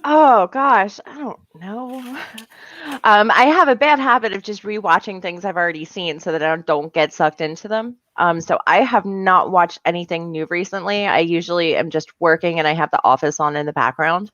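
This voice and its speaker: A woman's voice: fairly low pitch, moderate pace, a bit bright, no echo, highs cut off. A woman from Philadelphia chatting casually as a livestream guest, with a playfully argumentative, sweary way of talking. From Philadelphia, United States. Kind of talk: casual chat.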